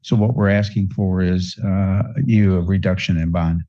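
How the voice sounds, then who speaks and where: Halting low-voiced male defense attorney. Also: fairly even intonation, mellow, no echo. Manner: halting, with repeated words. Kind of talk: spontaneous courtroom argument. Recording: dull courtroom audio feed.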